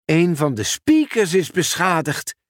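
A man reading a storybook aloud, voicing an excitable male sorting office manager who talks in a raised, exclaiming voice. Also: unhurried, dry recording, studio quality. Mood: excited. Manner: excited, high-pitched, exclaiming.

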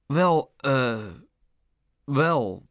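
A man's voice: mid-range voice, animated, very slow, a bit bright, no room sound, clean studio sound, heavily acted. A man voicing a nervous young son with a hesitant manner in an audiobook. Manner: hesitant, stammering excuses. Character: nervous, sweaty young son covering up a fib. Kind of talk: audiobook character voice.

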